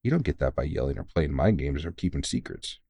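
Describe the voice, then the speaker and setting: Veteran vampire hunter played by a man for audio roleplay: very deep, close and dry, earnest, sweary, reassuring. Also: expressive, a little fast, creaky, dark tone, slightly rough, dry recording, exaggerated voice. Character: big, gruff but caring veteran male vampire hunter, mentoring. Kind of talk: intimate audio roleplay monologue.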